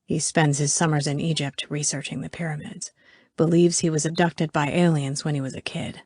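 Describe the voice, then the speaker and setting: A woman voicing a young man who murmurs wry gossip softly and intimately in an audiobook. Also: low-pitched, fairly even intonation, normal speed, dry recording, clean studio sound. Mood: intimate, amused. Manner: hushed, whisper-soft, wry.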